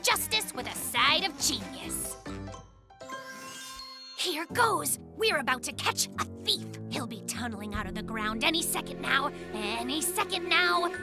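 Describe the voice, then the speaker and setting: A woman voicing an excitable young boy bear in a cartoon, melodramatic and self-important like a detective. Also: sits high, measured pace, music in the background, heavily acted. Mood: dramatic, suspenseful. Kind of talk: cartoon dubbing with mock-noir narration.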